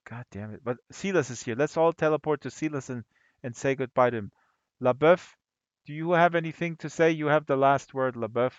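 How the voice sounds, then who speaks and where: A man with a German accent hosts a live stream in a joking, talkative way, his voice sitting at a middle pitch. The sound is dry and close, with no room around it. The audio is a little dull and lo-fi. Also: a little fast, clear, resonant tone, no echo. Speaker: host of a virtual-world tour stream. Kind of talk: casual live-stream chat.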